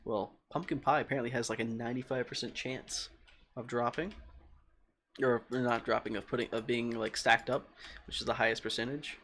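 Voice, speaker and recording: Male game streamer, calm voice, middle pitch, rambling and chatty with viewers, faint typing behind. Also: fairly quick, slight background noise. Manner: rambling, thinking aloud and answering chat. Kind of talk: casual livestream chat while playing.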